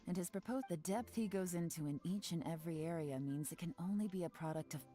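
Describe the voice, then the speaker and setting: An actress playing a composed woman investigator in a game, speaking in a cool, assessing way over soft music. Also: on the low side, fast talker, lightly acted.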